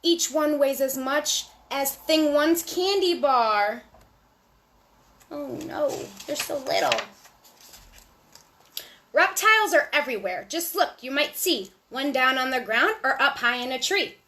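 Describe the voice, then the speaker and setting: Woman, high voice, children's storyteller, rhyming read-aloud, animated sing-song delivery, addresses young kids directly. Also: slow.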